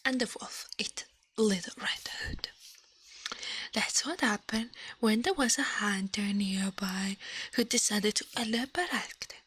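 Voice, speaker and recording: A woman hosting an ASMR livestream, speaking mostly in a breathy whisper close to the microphone, with playful drawn-out delivery. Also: mid-range voice, fairly bright tone, low-quality recording. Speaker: livestream host.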